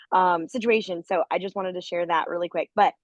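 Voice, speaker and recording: A woman with the flu and a raspy, hoarse voice, talking in a chatty, candid way on a group video call. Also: lowish voice, steady tone, moderate pace, no room sound. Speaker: dance studio owner. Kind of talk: casual call with a business coach. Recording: muffled lo-fi call audio.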